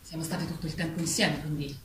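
A woman playing a stern, suspicious farm animal leader in a stage play, speaking deliberately. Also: deep voice, steady tone, a bit bright. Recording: echoey, distant, clearly noisy stage recording.

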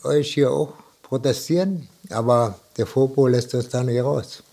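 A man's voice: mid-range voice, a little slow, gravelly, dark tone. An older man with a Berlin accent telling an eyewitness recollection in a colloquial, anecdotal way. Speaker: eyewitness, former construction worker. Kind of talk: spoken recollection.

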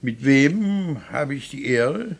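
Earnest art professor and father: middle-aged, husky, creaky voice, emphatic stage delivery for classic radio drama. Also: mid-range voice, expressive, slow pace. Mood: courteous, brisk. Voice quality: husky, gravelly. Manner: emphatic, declamatory, with muttered asides. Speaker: actor in a radio play.

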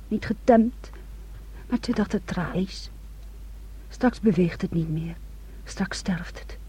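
An actress playing an intense, wounded young woman in a Dutch radio play, hushed and intimate, often slipping toward a whisper, on a muffled old recording. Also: medium pitch, slightly rough. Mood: weary, sad.

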